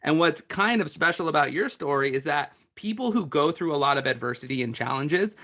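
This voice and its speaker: Male author guest, higher-pitched, earnest explainer, casual phrasing. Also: animated, brisk, sharp tone. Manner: earnest, explanatory, uses "like" often. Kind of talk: conversational interview.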